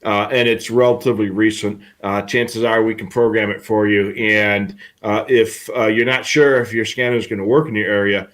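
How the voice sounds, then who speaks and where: A man's voice: fairly low pitch, fairly even intonation, moderate pace, few pauses, fairly bright tone. A man co-hosting a live hobby show, explaining products in a casual, conversational way. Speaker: co-host of a live radio scanner show.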